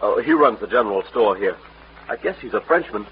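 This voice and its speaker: An actor playing a naive, eager young American townsman in an old Western radio drama, folksy and excitable. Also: lowish voice, a little fast, a little hiss or hum, lightly acted. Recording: thin, narrow-band old radio recording.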